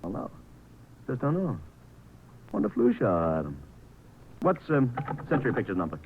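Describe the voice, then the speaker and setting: A man's voice: mid-range voice, huge pitch swings, normal speed, vocal fry. An actor playing a brash, scheming publicity man in 1950s radio drama, slangy, snappy and commanding. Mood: urgent, worried. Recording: tinny, noisy old 1950s radio recording.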